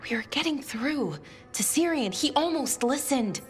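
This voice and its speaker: A woman's voice: high-pitched, lively intonation, normal speed, soft background music. A woman acting an earnest, anxious young scholar in a video game, hesitant and adding trailing afterthoughts. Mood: troubled, uncertain.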